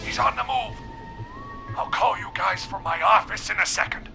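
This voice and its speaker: A man voicing an excitable, nervous newspaper editor in a video game, with a fussy, agitated, blustering manner. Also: high voice, normal speed, vocal fry, over music. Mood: tense, fussy.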